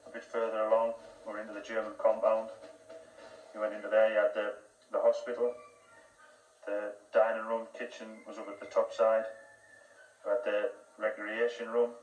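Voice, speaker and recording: A man's voice: on the low side, monotone, normal speed, pauses often, darkish tone, roomy sound, distant mic, muffled recording, soft background music. A man explaining the history of a former camp in a matter-of-fact way. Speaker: local guide to the camp site. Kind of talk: on-site documentary explanation.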